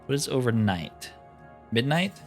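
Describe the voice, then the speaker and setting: Animated man commentating a game over music. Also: medium pitch, unhurried. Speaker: gaming video host. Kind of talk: casual roleplay gameplay commentary, reading dialogue aloud.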